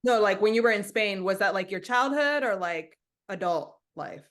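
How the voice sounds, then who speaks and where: Woman, interviewer, mid pitch, casual, informal, quick affirmations. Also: fairly bright tone.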